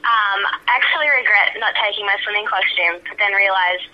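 High-voiced Australian woman on a phone line. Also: brisk. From Sydney, Australia. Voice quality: high-pitched. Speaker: rugby league journalist, podcast guest. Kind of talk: casual podcast chat.

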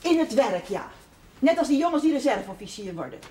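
A brisk, nagging village woman, high-pitched and very lively in intonation. She questions insistently in period TV drama, over clear recording noise. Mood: prying, insistent. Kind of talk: Dutch period television drama acting. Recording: clearly noisy old TV audio.

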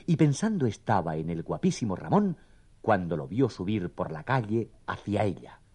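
Husky, mellow male storyteller. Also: fairly low pitch, very animated, moderate pace. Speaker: storyteller, narrator. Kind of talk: scripted children's story narration.

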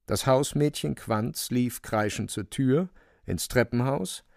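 Gravelly male radio drama narrator. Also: medium pitch, takes it slowly, a little mellow, no echo, studio quality. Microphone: close, dry studio microphone.

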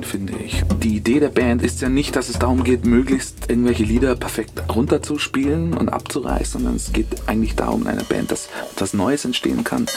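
A man's voice: medium pitch, creaky. A man, a band musician, explaining his views in earnest, reflective conversational talk.

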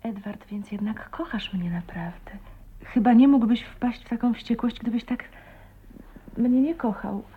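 An actress playing a shy, insecure young wife, hesitant and emotional, in 1980s Polish radio drama style. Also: female voice, a little high, a little slow, slightly rough. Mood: timid wonder. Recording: dull lo-fi radio play recording.

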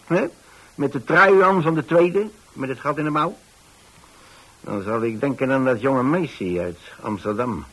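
A man's voice: mid-range voice, husky, low-quality recording. An older man, a radio actor playing a kindly retired man who tells his story in a hesitant, rambling, conversational way. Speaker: radio actor, narrating lead.

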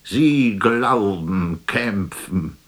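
An actor playing a melancholy, self-doubting stage player in a radio drama, speaking in a declamatory, poetic way that moves between murmur and outcry. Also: male voice, on the low side, expressive, extremely slow, fairly bright tone, no room sound, heavily acted. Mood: impassioned. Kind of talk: theatrical radio drama monologue.

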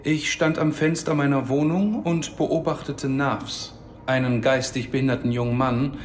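A man's voice: medium pitch, fairly even intonation, normal speed, slight background noise, natural voice. An actor playing a melancholy, sardonic Palestinian father in a radio drama, speaking in a weary, understated way. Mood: grave. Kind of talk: radio drama dialogue and narration. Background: music under the voice.

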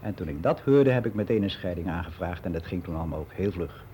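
Older man giving frank, matter-of-fact radio play monologue; soft dark tone, slightly noisy recording. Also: low-pitched, lively intonation, fast. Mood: candid, indignant. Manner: matter-of-fact, rambling, candid.

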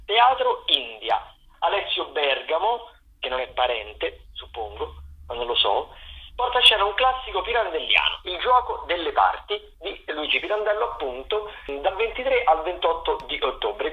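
Uomo al telefono, voce piuttosto acuta. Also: male voice, on the high side. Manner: playful, discursive, with asides. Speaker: theatre critic, radio contributor. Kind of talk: chatty weekly theatre listings. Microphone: telephone. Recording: tinny phone line.

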